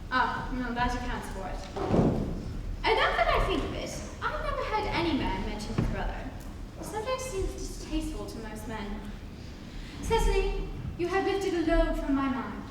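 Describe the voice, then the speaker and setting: Young woman acting a high-voiced haughty society lady, echoey hall. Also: huge pitch swings, moderate pace. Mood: relieved, then anxious. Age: young adult. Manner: formal, grand, pointedly polite. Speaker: student reader. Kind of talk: dramatic reading of a stage comedy. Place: large reverberant room. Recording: echoey hall from far off, noisy.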